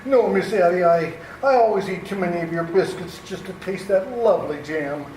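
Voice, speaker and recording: A man's voice: sits high, moderate pace, a little mellow, close to an everyday voice. A man playing a prim, mild minister in a radio comedy, proper, earnest and hesitant. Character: prim, mild, disapproving minister visiting for tea. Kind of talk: old-time radio comedy acting. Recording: echoey distant pickup with clear noise.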